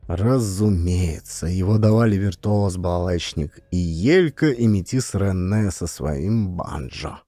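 A man narrating an adventure audiobook as a scripted story, with expressive, emphatic delivery. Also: lowish voice, no echo. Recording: music behind the voice. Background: music.

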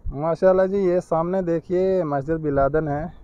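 A man's voice: a little high, fairly even intonation, normal speed, dark tone, clean tone, highs cut off. A man casually narrating a devout walking tour on a handheld phone, outdoors on city streets. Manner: devout, pointing things out. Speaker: vlogger giving a walking tour. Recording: noisy outdoor phone recording.

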